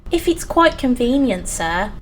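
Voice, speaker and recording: A woman acting a meek, kindly clerk and loving father in an audio play, gentle and deferential. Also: a little high, sing-song, unhurried. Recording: clear background noise, ambience.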